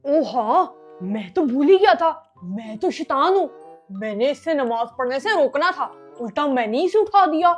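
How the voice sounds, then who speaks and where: A woman's voice: high voice, animated, soft background music. A woman playing a naive, bumbling young apprentice devil in a comic skit, earnest and eager to please. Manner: obedient, slow-witted, earnest. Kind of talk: comic family skit acting.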